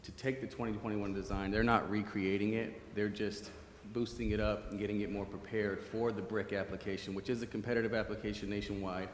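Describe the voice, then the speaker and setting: A man's voice: fairly low pitch, flat delivery, a little fast, few pauses. A man explaining a project as an official at a public meeting in a council chamber. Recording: echoey hall, long reverb, faint noise.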